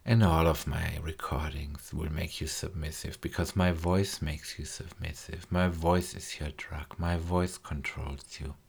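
A man speaking as a hypnotist in a trance recording, slow and soft-spoken, often sinking into whispers with coaxing, repetitive phrasing. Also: extremely low pitch, fairly even intonation, gravelly, breathy edge.

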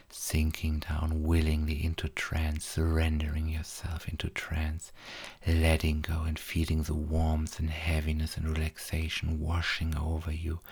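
A man guiding a hypnosis induction in a soft, repetitive way, often dropping to a whisper. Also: very deep voice, breathy edge.

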